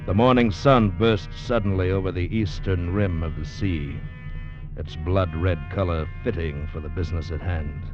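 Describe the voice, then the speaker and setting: A man playing a grave convict narrator in a tropical prison colony, telling his story in measured, dramatic 1940s radio style. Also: sits low. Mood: somber, ominous. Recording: dull vintage radio recording with music behind.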